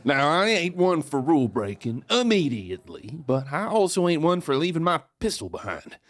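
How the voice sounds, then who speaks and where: An actor playing a cocky, swindling Old West outlaw who talks with folksy swagger in an audio drama. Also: male voice, fairly high pitch, big pitch swings, normal speed. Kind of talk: audio drama narration and dialogue.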